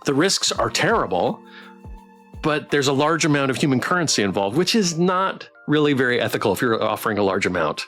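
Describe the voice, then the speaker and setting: A man's voice: mid-range voice, sing-song, fairly quick, a bit bright. A man streaming a game playthrough, chatting casually, reading narration and thinking aloud. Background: music.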